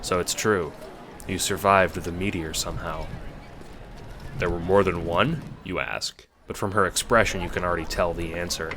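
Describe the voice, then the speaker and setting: A man's voice: low voice, moderate pace, noisy background. A man narrating a scripted audio drama in the second person.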